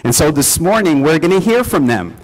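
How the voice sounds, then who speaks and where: A man's voice: a little high, big pitch swings, fairly quick, poor audio. Earnest male pastor addressing a church. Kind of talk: welcoming a congregation at a service.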